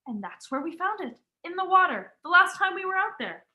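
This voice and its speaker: A woman's voice: very high voice, sing-song, a little fast, bright, forceful tone, exaggerated voice. A student actress playing a frightened, suspicious young woman in an urgent, melodramatic vintage radio mystery style. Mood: urgent, accusing. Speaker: student actor.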